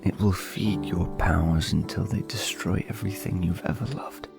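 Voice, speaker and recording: A man voicing a grave, foreboding character who warns of doom in a fantasy story, with a gravelly voice. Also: low voice, steady tone, normal speed, over music, voice pushed down. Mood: grim warning. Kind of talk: dramatised fantasy audiobook with music.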